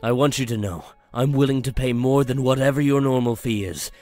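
A man's voice: mid-range voice, fairly quick, darkish tone, faint music behind, natural voice. An actor playing a grateful middle-aged father in a visual novel, speaking earnestly and with emotion. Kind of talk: dramatic visual novel acting.

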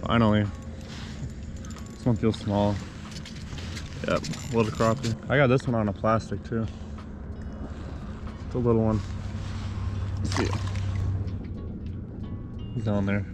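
A man's voice: lowish voice, level tone, slow, pauses often, over music. A man giving casual on-the-spot commentary as host of a fishing video, recorded outdoors on an action camera. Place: outdoors on a frozen lake while ice fishing. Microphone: action camera worn on the body.

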